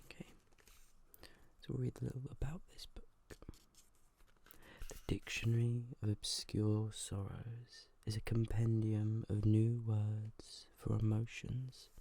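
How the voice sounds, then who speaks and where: An ASMR host reading aloud in a soft, breathy whisper, gentle and hushed, close to the microphone. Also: mid-range voice, little pitch movement, slow, a little hiss or hum. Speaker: host of an ASMR video channel.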